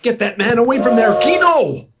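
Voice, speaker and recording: A man's voice: very high-pitched, lively intonation, normal speed, noisy background. A man playing an imperious, short-tempered crime boss with theatrical menace and formal wording, in 1940s radio serial style. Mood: frantic, angry.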